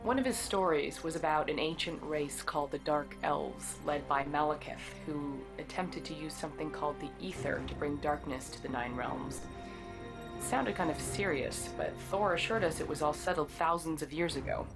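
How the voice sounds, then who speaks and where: Wary superhero ally, deep female voice, dry scripted narration, music behind. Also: moderate pace. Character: cool, wary female agent allied with superheroes.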